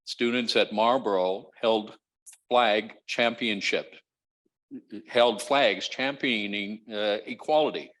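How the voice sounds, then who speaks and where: A man reads a scripted community news roundup as a school trustee. His pitch is average, and he reads carefully, with the odd stumble. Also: a bit bright.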